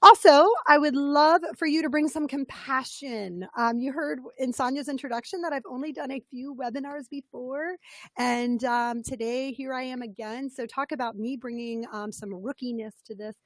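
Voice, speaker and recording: A woman with a slightly scratchy, fairly high voice leads a webinar as a coach. She talks in an upbeat, chatty way and greets people by name. The sound is dry and close. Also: normal speed, fairly bright tone, no echo. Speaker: business coach presenting a webinar. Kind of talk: interactive webinar talk.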